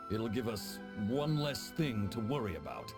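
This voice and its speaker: An actor playing a stern, officious chief of tax officers in a video game, commanding and haughty. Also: male voice, on the low side, sing-song, a little slow, music in the background. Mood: wary. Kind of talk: English video game dub acting.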